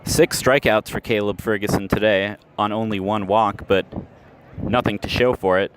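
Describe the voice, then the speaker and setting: A man calling a minor league baseball game as a radio play-by-play announcer, steady and measured, rising sharply on the big plays. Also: fairly low pitch, noisy background. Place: ballpark, outdoors.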